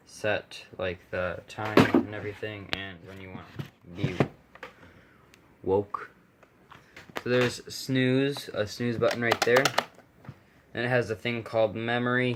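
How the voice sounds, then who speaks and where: Casual male vlogger, lowish voice, unscripted show-and-tell over clearly audible background noise and clicks. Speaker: amateur vlogger showing a vintage radio. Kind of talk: casual off-the-cuff demonstration.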